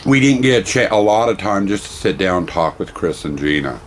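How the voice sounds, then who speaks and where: Hoarse man, effusive and rambling. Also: low-pitched, lively intonation, brisk, slight background noise. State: hoarse, losing his voice. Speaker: vlogger. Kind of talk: casual vlog talk.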